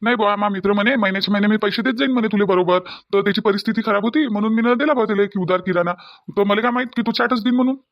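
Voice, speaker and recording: A man plays a naive young cartoon son who keeps explaining himself with a whiny defensiveness. His voice is rather high and very fast, with a Varhadi Marathi accent. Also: highs cut off. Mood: defensive.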